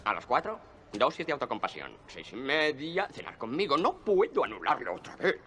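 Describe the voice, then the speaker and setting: A man's voice: medium pitch, sing-song, moderate pace, pushed and bright, soft background music. A man playing a wry character who reads out his own daily schedule.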